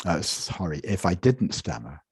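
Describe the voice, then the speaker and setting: A man with a very low, husky voice delivers a measured conference lecture from his slides. He often repeats and restarts words as he talks. The sound comes dry and close over a video call. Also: very animated, no echo. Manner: measured, with frequent repetitions and restarts. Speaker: conference presenter.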